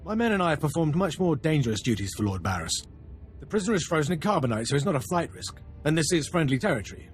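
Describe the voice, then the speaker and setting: An actor playing an overconfident military captain in a video game, brisk, formal and self-assured. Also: male voice, a little high, big pitch swings, soft background music. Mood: brisk confidence.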